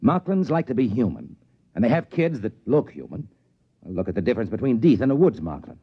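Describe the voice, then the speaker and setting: A man's voice: mid-range voice, sing-song, brisk, breathy edge, dry recording. An American actor playing a shrewd, confident, wry trading-post man in a 1950s radio drama. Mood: scheming, wry. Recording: dull vintage radio recording.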